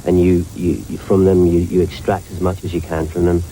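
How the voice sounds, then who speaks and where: Low-voiced director from north London. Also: male voice, level tone, a little fast, warm tone, a little hiss or hum, low-quality recording. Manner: reflective, rambling with restarts. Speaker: film director. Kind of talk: interview answers.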